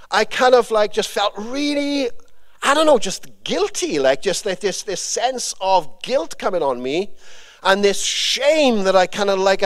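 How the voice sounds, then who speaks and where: A Welsh man giving a conversational sermon with a personal testimony, rambling and informal with frequent fillers and restarts. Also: sits high. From Wales. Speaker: preacher.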